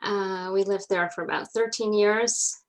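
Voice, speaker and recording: A woman's voice: mid-range voice, fairly even intonation, a little slow, low-quality recording. A woman with a North American accent, speaking as an interview guest and recounting family history in a conversational way. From North America (New York, Atlanta, Montreal). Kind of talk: personal family storytelling in conversation.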